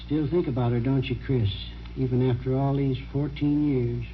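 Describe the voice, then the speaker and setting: A man's voice: mid-range voice, flat delivery, normal speed, lightly acted. An actor playing a plain-spoken, determined small-town man with a folksy, persistent manner in an old-time radio drama. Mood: firm, persuading. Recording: muffled, slightly noisy vintage radio recording.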